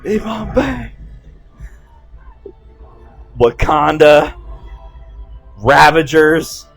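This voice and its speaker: Male film commentary host, enthusiastic and slangy, unhurried pace, faint film score underneath. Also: a little high, very animated, creaky. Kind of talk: excited casual film commentary. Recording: music playing behind the voice.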